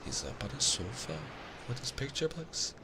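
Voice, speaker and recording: A man's voice: mid-range voice, big pitch swings, normal speed, vocal fry, very noisy, pitched above the performer's own voice. A man voicing a young, anxious, deferential housemaid in a bedtime storybook reading. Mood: puzzled. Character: young Yorkshire housemaid, anxious and dutiful woman. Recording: constant loud ocean-wave ambience behind voice.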